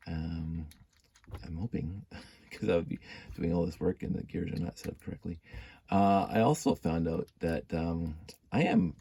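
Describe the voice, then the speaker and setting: Stuffy, low, gravelly-voiced man. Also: mellow. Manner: rambling, self-deprecating, hums and mutters while working. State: stuffy from a cold. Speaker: building hobbyist vlogger. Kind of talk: casual thinking-aloud while building.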